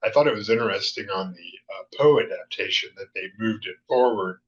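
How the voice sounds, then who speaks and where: A male host with a low but bright, forceful voice gives casual commentary. His delivery is somewhat halting, and the recording is a little muffled. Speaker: show host. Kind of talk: casual commentary on vintage television.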